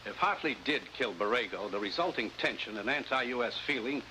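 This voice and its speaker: An actor playing a brisk, authoritative commissioner in a 1950s spy drama, clipped and urgent. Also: male voice, mid-range voice, expressive, sharp tone. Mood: urgent, commanding. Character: brisk, commanding male spy agency commissioner. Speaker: actor in a supporting role. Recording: faintly noisy vintage 1950s broadcast recording.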